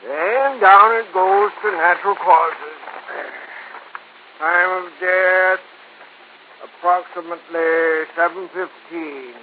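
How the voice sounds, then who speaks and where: A man playing a brisk, offhand police doctor in an old-time radio drama, blunt and businesslike. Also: high-pitched, steady tone. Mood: brisk, indifferent. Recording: tinny old radio recording, faint noise.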